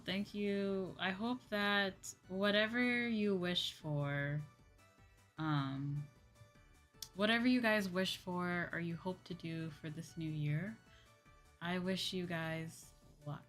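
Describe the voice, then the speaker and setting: A slightly sleepy woman hosting a livestream, chatting casually and informally with her viewers. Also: on the low side. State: a little sleepy. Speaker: livestream host, VTuber.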